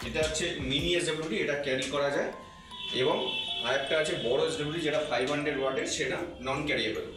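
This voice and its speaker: A man's voice: on the high side, fast. A man giving an informal physiotherapy tutorial, explaining step by step and often repeating himself. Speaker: physiotherapy instructor presenting a tutorial. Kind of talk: informal demonstration lesson to camera. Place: echoey indoor room. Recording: very echoey, distant microphone, music behind. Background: music.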